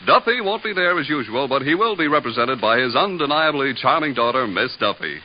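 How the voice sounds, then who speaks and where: A man's voice: medium pitch, expressive, brisk. A man giving a polished, formal scripted announcement in 1950s network radio style. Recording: dull, hissy old radio recording.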